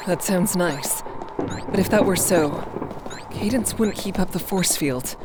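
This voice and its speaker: A woman voicing a composed, regal female cartoon unicorn pony with a formal, calm manner. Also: lowish voice, unhurried, noisy background, lightly acted. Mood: calm doubt. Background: music.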